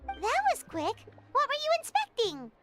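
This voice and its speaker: A woman voicing a tiny, feisty fairy companion in a video game, squeaky, chirpy and boastful. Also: wildly swooping pitch, moderate pace, loud music behind the voice, heavily acted. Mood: puzzled, curious. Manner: squeaky, boastful, chirpy, speaks of self in third person. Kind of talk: scripted video game voice acting.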